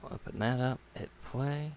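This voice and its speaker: A man's voice: mid-range voice, moderate pace, noisy background. A man hosting a live stream with casual commentary, informally muttering his way through things aloud.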